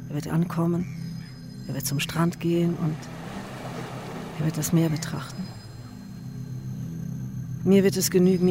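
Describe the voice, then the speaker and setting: A woman's voice: lowish voice, natural voice. An actress playing an intense, weary, anguished woman in a literary radio drama.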